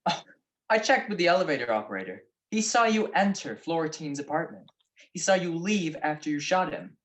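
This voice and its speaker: A man's voice: a little high, expressive, brisk, fairly bright tone. Guy doing a wry shrewd detective husband, old radio mystery, voice swings up and down. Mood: wry, accusing. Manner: wry, teasing. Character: wry, shrewd married male private detective. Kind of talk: 1940s radio detective drama acting.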